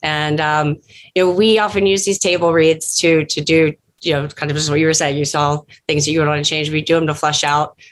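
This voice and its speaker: A woman's voice: low-pitched, little pitch movement, brisk. A woman speaking as a producer giving casual, thoughtful feedback with emphatic points.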